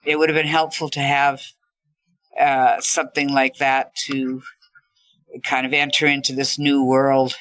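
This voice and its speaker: Bright-voiced woman, low-pitched. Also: little pitch movement, measured pace, dry recording. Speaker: podcast guest. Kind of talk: reflective interview talk.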